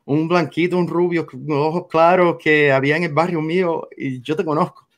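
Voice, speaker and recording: An American man in his forties, a guest on an interview show, who speaks Spanish with a clear Cuban accent. He tells his stories in a lively, animated way and laughs between phrases. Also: a little high, normal speed. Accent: Cuban-influenced Spanish. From United States. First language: English. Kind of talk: casual interview storytelling.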